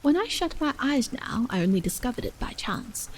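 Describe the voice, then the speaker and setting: A woman voicing a troubled, earnest, introspective man in a story, soft, solemn and hesitant. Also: sits high, normal speed, slight background noise, low-quality recording. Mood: solemn, searching. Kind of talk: audiobook character dialogue. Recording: steady rain sound over the voice.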